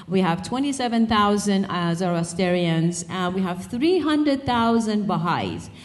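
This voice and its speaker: A middle-aged woman from Iran speaks at a college peace conference, pausing often on filler sounds. She keeps a friendly, joking tone with the audience. Her voice comes through a handheld microphone in a hall. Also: mid-range voice, lively intonation. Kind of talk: public talk with slides.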